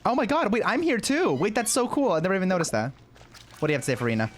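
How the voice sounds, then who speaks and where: Animated, exclamatory game streamer. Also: medium pitch, very fast, a little hiss or hum. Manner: reactive, exclamatory, swearing. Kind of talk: casual live game commentary, reading some text aloud.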